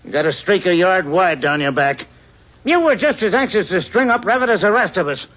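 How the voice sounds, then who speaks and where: An actor playing an angry, defiant cowboy vigilante with a belligerent frontier manner in a 1950s radio western. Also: male voice, high voice, sing-song, a little fast, fairly bright tone. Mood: scornful. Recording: dull vintage 1950s radio recording.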